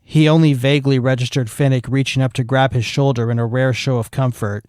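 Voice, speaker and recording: A man narrating a fan fiction story in a steady, matter-of-fact manner. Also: mid-range voice, fairly quick, clear, resonant tone, dry recording, clean studio sound.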